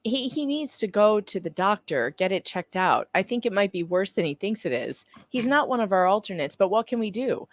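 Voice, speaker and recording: A woman's voice: medium pitch, very animated, fairly quick, hardly any pauses, no room sound. A woman reading transcript lines aloud casually, with playful, teasing conversational delivery. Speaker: guest co-reader. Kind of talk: casual dramatic reading of court transcript with banter.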